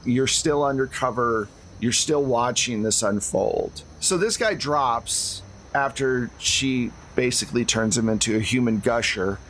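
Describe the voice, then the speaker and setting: A man's voice: mid-range voice, a little slow, fairly bright tone, poor audio. Male game master with noise behind him. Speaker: game master of a tabletop roleplaying game. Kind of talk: improvised scene narration and table talk.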